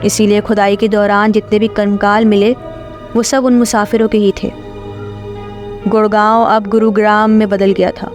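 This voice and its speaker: A woman's voice: medium pitch, little pitch movement, moderate pace. A woman reading a scripted horror story as its narrator. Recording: clear music bed under voice.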